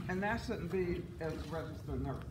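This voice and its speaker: A woman with a raspy voice presenting a workshop and quizzing the audience. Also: low voice, normal speed. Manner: animated, questioning the audience. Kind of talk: interactive workshop talk. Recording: echoey room, distant mic, noisy.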